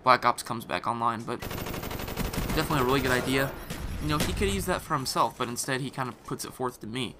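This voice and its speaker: A man's voice: medium pitch, fairly quick. A man with a scratchy, irritated throat giving casual, rambling voice-over commentary on a game, with lots of fillers and restarts. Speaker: gaming commentator. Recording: voice-over mixed over loud game gunfire. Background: game gunfire and explosions.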